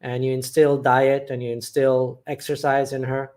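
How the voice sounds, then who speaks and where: A man from England runs a casual live chat on his channel. He reads viewer comments aloud and answers each one with plain, blunt opinions, speaking at a middling pitch in an everyday, conversational way. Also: flat delivery, moderate pace, a little mellow. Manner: matter-of-fact, blunt opinions. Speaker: channel host.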